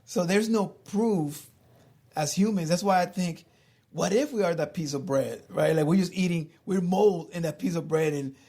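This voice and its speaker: A man's voice: sits high, moderate pace, mellow. A man co-hosting a casual podcast, musing and asking big questions with lots of "like" filler.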